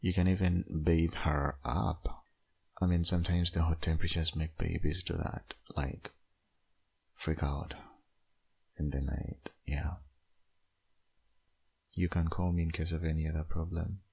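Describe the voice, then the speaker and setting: A man playing a caring, sleepy young father and ex-boyfriend in an ASMR audio roleplay, gentle and hesitant, with a low husky voice. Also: steady tone, measured pace, pauses often, exaggerated voice. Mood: calm, reassuring.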